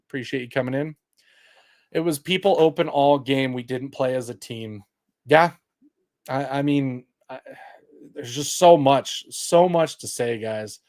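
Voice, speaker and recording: A man hosting a post-game sports livestream, with a loud, projecting voice, heated and exasperated as he breaks down stats for his chat. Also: medium pitch, a little slow, pauses often. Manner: reads stats aloud to chat. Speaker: sports talk host.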